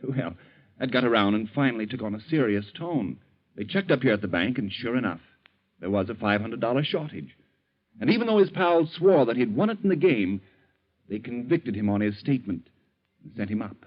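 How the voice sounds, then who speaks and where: An actor playing a mild but shrewd bank teller who probes in a folksy, leading way, in 1940s radio style. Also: male voice, mid-range voice, big pitch swings, fairly quick. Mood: storytelling. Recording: dull, lo-fi 1940s radio recording.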